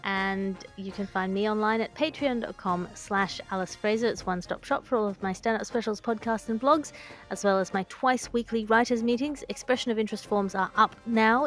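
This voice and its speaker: Woman, comedy podcast host, dry banter, brisk sign-offs, faint music underneath. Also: mid-range voice. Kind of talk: casual comedy chat.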